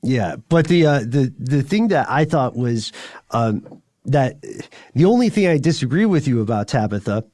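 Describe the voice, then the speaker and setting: A man's voice: mid-range voice, animated, moderate pace, darkish tone, no echo, clean studio sound. A man hosting a podcast in casual conversation, wordy and discursive as he feels out his phrasing. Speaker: podcast host.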